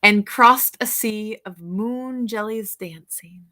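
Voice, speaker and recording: A woman's voice: fairly high pitch, slow, bright, forceful tone. A woman reading a picture book aloud as a teacher-storyteller, stretching out words and pointing out details to young listeners.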